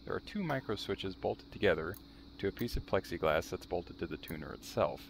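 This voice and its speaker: A man's voice: low voice, expressive, brisk, vocal fry, slight background noise. A man narrating a how-to demonstration in a dry, matter-of-fact, wry way. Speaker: video host showing a restored vintage radio.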